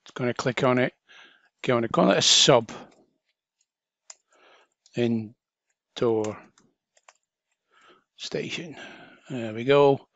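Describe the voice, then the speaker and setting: A man with a mid-pitched voice walks through a software setup as a training instructor. He is informal and chatty, explaining each action step by step. The sound is dry, with very little room in it. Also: slow, vocal fry, pauses often, no room sound, muffled recording. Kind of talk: casual step-by-step software tutorial narration.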